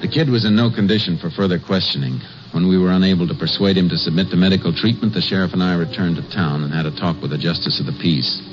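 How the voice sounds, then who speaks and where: An actor playing a calm, shrewd Texas Ranger in 1950s radio drama, speaking in terse, deliberate lines. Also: male voice, low voice, over music, natural voice. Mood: narrating. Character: Texas Ranger, a calm, shrewd, methodical lawman who narrates. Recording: dull, noisy old radio recording.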